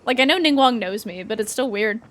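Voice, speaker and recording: A woman's voice: fairly high pitch, expressive, brisk, background noise. A young woman streamer giving casual gameplay commentary in informal, rambling asides. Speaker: game streamer.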